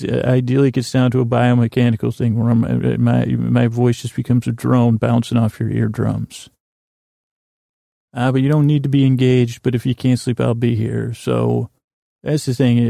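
A man hosting a bedtime podcast, talking in a halting, meandering, self-deprecating monologue. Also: medium pitch, vocal fry, mellow, dry recording, clean studio sound. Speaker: podcast host.

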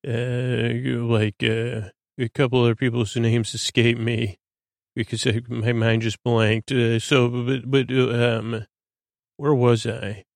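Male podcast host for bedtime listening: creaky, close dry sound, lulling, meandering and halting. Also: mid-range voice, little pitch movement, no room sound. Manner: meandering, stammering, trails into tangents; soothing, lulling delivery. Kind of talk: rambling bedtime monologue.

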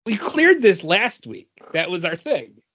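A man's voice: high-pitched, huge pitch swings, measured pace, a bit bright, husky, lo-fi sound. A man co-hosting a podcast in casual, conversational banter. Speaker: podcast co-host.

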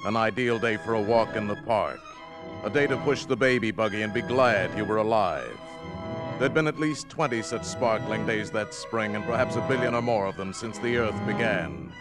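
A solemn, literate man acting as narrator of a 1950s science-fiction radio play. His lowish voice tells the tale with measured portent over loud music. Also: natural voice. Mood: solemn, portentous.

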